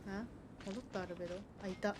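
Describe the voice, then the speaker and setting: Fast-talking woman streaming a game. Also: medium pitch, fairly even intonation, noisy background. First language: Japanese. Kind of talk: casual live game commentary.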